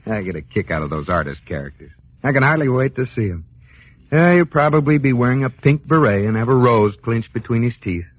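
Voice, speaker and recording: Sardonic radio sitcom husband, 1940s style, swingy exasperated delivery, muffled old recording. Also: male voice, medium pitch, animated, a little fast, slightly rough, no room sound. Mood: wry, dismissive. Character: skeptical, wry banker husband in a radio sitcom. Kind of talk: 1940s radio comedy acting.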